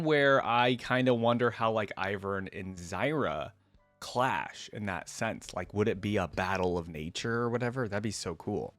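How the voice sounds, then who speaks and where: A man's voice: mid-range voice, no echo. A man hosting a reaction video in a casual, enthusiastic, informal way, commenting and reading aloud.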